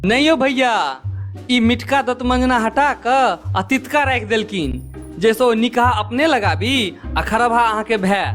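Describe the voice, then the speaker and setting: Squeaky, whining cartoon little brother. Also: male voice, a little slow, a bit bright, soft background music. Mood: accusing. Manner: whiny, tattling. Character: whining, aggrieved younger brother-in-law, naive and tattling. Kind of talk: cartoon comedy dialogue.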